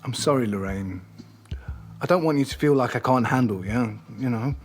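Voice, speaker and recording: An actor playing an insecure boyfriend in an audio play, earnest, pleading and prone to over-explaining himself. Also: male voice, mid-range voice, moderate pace, faint music behind, natural voice. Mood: apologetic. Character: insecure, apologetic, self-justifying boyfriend, eager to please. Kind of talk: audio play dialogue and monologue.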